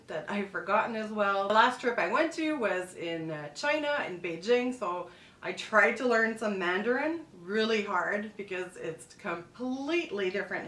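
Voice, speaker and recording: A woman's voice: mid-range voice, sharp tone, far from the mic. A middle-aged woman from Montreal, talking casually to camera as a food and travel blogger, loose and chatty with restarts. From Montreal, Quebec, Canada. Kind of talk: casual vlog monologue. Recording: echoey room, mic set back.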